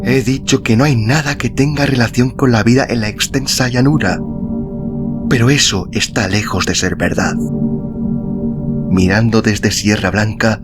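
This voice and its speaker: A man reading a literary story as an audiobook narrator, in a measured, solemn, dramatic style with deliberate pauses. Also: medium pitch. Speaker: audiobook narrator and podcast host. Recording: music behind the voice. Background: background music.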